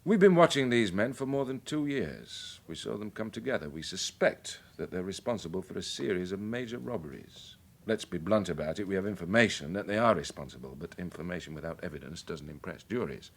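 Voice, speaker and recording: A senior police chief in a radio drama. The voice is fairly low and moves expressively in pitch, with a measured, authoritative, matter-of-fact way of giving orders. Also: male voice, expressive, vocal fry, exaggerated voice. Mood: grave, briefing. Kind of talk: naturalistic radio drama acting.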